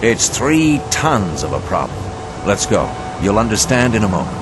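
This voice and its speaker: A man's voice: lowish voice, big pitch swings, moderate pace. A man playing a sly, well-informed ally in a video game, with a knowing, teasing manner. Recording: music behind the voice.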